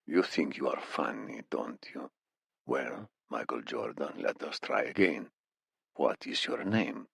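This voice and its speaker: A man voicing a muscular, menacing Iranian interrogator in an audiobook, speaking softly with mock politeness in an Iranian accent. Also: very deep voice, big pitch swings, gravelly, breathy edge, no room sound, clean studio sound, pitched below the performer's own voice, full character voice. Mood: quiet threat. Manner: soft-spoken, slow, mock-polite menace.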